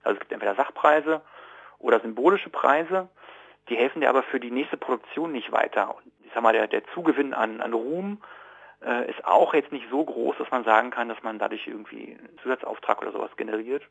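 Husky, creaky man in a radio interview. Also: mid-range voice, a little fast, a bit bright, highs cut off. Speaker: interviewee, association board member. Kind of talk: spontaneous interview talk.

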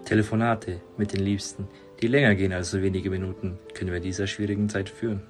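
An earnest campaigner played by a man gives a scripted appeal in a deep, even-toned voice. He speaks quickly over faint guitar music. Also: close to an everyday voice. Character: earnest social media campaigner urging contact. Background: soft guitar music.

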